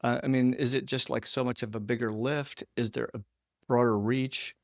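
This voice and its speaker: Gravelly male interview host, dry sound. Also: medium pitch, steady tone, moderate pace, no room sound. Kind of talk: conversational interviewing.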